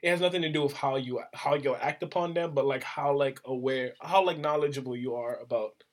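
A man's voice: medium pitch, brisk. Casual young man co-hosting a podcast. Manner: rambling, lays out points and hypotheticals. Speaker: podcast co-host. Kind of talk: casual chat.

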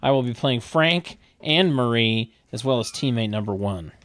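Man, average pitch, dry sound, podcast co-host, relaxed joking conversation. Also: normal speed, no echo. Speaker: podcast co-host reading stage directions. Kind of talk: casual comedic group chat.

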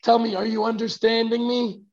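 A hoarse man who has lost his voice, casually chatting and greeting viewers by name in a live online talk. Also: very high-pitched, flat delivery, moderate pace. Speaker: fellowship teacher. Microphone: video call. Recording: dull video call audio.

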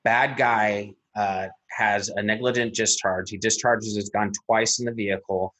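A man with a husky voice, an interview guest recounting events methodically, step by step, with frequent pauses. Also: fairly low pitch, steady tone, fairly bright tone, rough recording. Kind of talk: recounting a personal incident in an interview.

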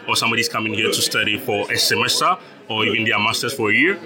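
A young man from Ghana, a startup founder, explaining his business as an interview guest, patient, with frequent laughs and repeated words. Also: medium pitch.

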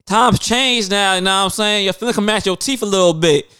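A man's voice: high voice, brisk, bright, forceful tone, clean tone, dry recording, clean studio sound. A man co-hosting a casual podcast, telling long rambling stories and putting on quoted voices. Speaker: podcast co-host.

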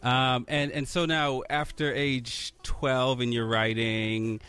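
A man's voice: mid-range voice, little pitch movement, takes it slowly, fairly bright tone, clean tone, no echo, soft background music. A man hosting a casual interview, stammering and repeating words as he asks questions and laughs often. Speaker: host.

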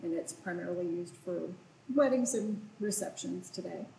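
A woman's voice: lowish voice, moderate pace, vocal fry, dark tone, roomy sound, low-quality recording. A woman, a historic site manager, answering interview questions in an informative, matter-of-fact way.

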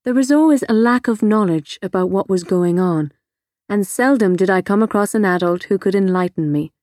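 A woman's voice: mid-range voice, normal speed, a little mellow, no echo, studio quality. A woman reading scripted first-person fiction as an audiobook narrator. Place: close, dry studio recording.

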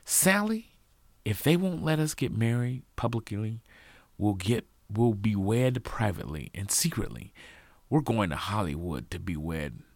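A man's voice: fairly low pitch, very animated, a little slow, a little mellow, dry recording, exaggerated voice. A man voicing a lovestruck eighth-grade boy who declares his love earnestly in flowery words in a told story. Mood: earnest, pleading. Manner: flowery, declaring romantic speech.

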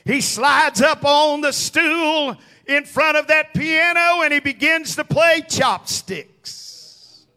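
Slow, high-pitched preacher with a piercing voice. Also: male voice, pushed and bright. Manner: emphatic, calls on the congregation to respond. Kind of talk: sermon to a congregation.

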